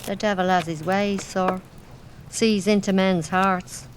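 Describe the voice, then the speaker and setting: A woman acting an old servant in an audio drama, telling grim folklore in an ominous, measured way. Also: medium pitch, steady tone, slight background noise, natural voice. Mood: dark warning.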